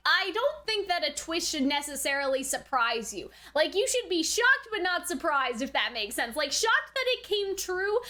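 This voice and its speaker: Young woman early 20s, high bright voice, doing a chatty casual review, super informal and emphatic. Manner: chatty, informal, emphatic, frequently checks in with listeners. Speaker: anime reaction and review host. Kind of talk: casual, animated reaction commentary to viewers.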